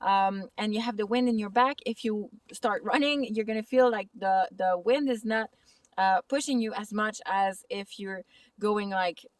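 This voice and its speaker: A woman's voice: medium pitch, no echo, distant mic. French Canadian woman teaching outdoors. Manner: casual, chatty, slightly halting English. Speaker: kitesurfing instructor. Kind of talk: outdoor tutorial vlog. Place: snowy lake outdoors in winter.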